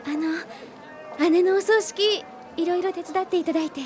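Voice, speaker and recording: Polite teenage girl, grieving younger sister, extremely high voice, gentle, radio drama. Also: normal speed. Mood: polite, forlorn. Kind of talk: 1990s Japanese radio drama acting.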